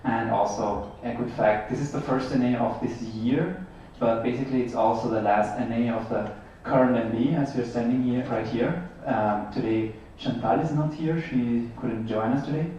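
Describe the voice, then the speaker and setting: A man's voice: mid-range voice, normal speed, hardly any pauses, mellow. A man giving an unscripted welcome speech as a board member, hesitant and a little rambling. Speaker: board member opening an assembly. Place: large echoing room, heard from far off. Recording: echoey hall, distant, dull, faint noise.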